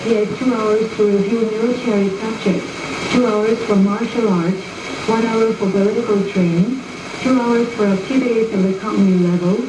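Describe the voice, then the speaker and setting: A woman reading scripted propaganda film narration in a formal, measured manner, on an old film soundtrack. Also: medium pitch, steady tone, echoey room, far from the mic, noisy background. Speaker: documentary narrator.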